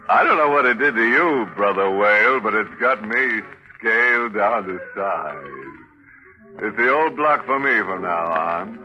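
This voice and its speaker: A man hosting a 1940s radio mystery series in a droll, macabre, mock-sinister way. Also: lowish voice. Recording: muffled 1940s radio recording, music behind. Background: music.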